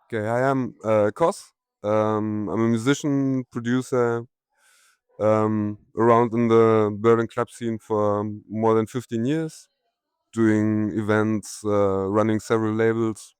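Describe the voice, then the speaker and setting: A man speaks calmly and briefly on a panel, in a low, flat voice. The sound is very clear and dry. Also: slow pace, no room sound. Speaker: musician and producer, panel guest. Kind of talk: panel introduction.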